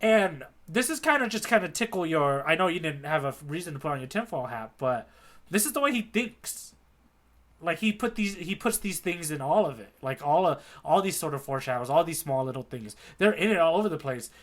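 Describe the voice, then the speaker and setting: A man's voice: fairly high pitch, animated, a little fast, fairly bright tone. A man co-hosting a podcast in casual chat about manga, joking and acting out imagined quotes. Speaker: podcast co-host.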